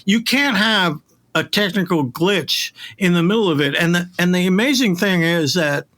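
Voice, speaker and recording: Man with a slightly high voice, emphatic. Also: normal speed, sharp tone. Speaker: interview guest, voice casting professional. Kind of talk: casual industry talk.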